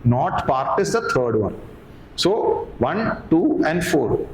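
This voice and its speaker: Indian guy teaching exam prep, emphatic, bit high voice, asks and answers his own questions, roomy echo. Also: sing-song, takes it slowly. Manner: repeats words and phrases. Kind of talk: explanatory lecture to camera. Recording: echoey room with background noise.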